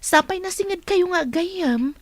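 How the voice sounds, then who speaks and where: A woman's voice: very high-pitched, lively intonation, unhurried, no echo. A woman playing a teasing, nosy office colleague in a radio drama. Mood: prying, playful. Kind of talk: Ilocano radio drama acting.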